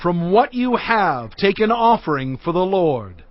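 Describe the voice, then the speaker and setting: Moses, a grave prophet, declaims earnestly to his people in a high male voice. The recording is dry and close, with a slightly dull sound. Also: sing-song, a little slow, no echo. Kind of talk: dramatized scripture reading.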